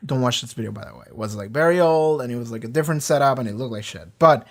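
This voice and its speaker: A reaction video host in a casual, rambling discussion, often talking loudly, swearing freely and filling pauses with lots of "like" and "um". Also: medium pitch. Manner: swears freely, many fillers and restarts; often talks loud.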